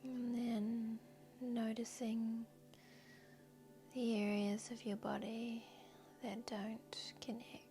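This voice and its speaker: A woman leads a guided relaxation in a soft, breathy near-whisper. Her tone is mellow and very even, barely moving in melody. She leaves long, gentle pauses, with music playing underneath. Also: on the high side. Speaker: yoga nidra guide and host. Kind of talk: guided meditation narration. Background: background music.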